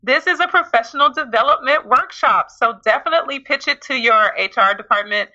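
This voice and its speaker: A woman's voice: high voice, a little fast, bright, forceful tone, muffled recording. A woman co-hosting a podcast in casual chat, playful and teasing with an upbeat, promoting energy. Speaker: podcast co-host.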